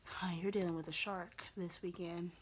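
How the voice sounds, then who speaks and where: A woman with a slightly raspy voice giving a casual tarot reading, chatty and repetitive, with lots of fillers. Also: fairly low pitch, steady tone, moderate pace, slight background noise. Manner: chatty, repeats herself with many fillers. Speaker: tarot reader.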